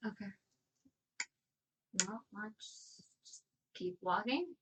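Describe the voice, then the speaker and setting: A woman's voice: medium pitch, lively intonation, drawn-out words. A woman chatting casually as a player in a tabletop role-playing game. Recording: faint background noise.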